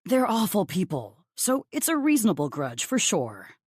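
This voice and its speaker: A woman's voice: mid-range voice, wildly swooping pitch, normal speed, slightly rough, dry recording, clean studio sound. A woman voicing a gossipy, teasing young male best friend in a romance audiobook, effusive and blunt. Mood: gloating, blunt.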